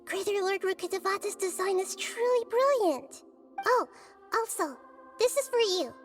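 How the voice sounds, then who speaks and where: A woman voicing a gentle, wise, childlike goddess in a video game, soft and earnest in a thoughtful, measured way. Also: very high voice, lively intonation, faint music behind. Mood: focused. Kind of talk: video game cutscene voice acting.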